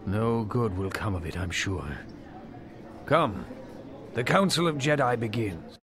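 An actor playing a wise, grave old Jedi master in an audio drama, speaking in a measured, mentoring way. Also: male voice, on the low side, expressive, crowd noise. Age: older.

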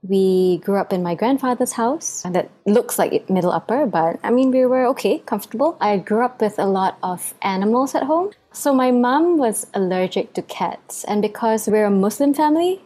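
A woman's voice: mid-range voice, expressive, moderate pace, few pauses, mellow. A young adult woman from Singapore, a podcast guest telling her story in a chatty way with frequent laughs. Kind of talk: casual storytelling interview.

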